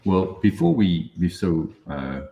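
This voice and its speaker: A man's voice: low-pitched, big pitch swings, slow pace, vocal fry, muffled recording, crowd noise. A man talking casually as a player working through the rules and moves of a tabletop game.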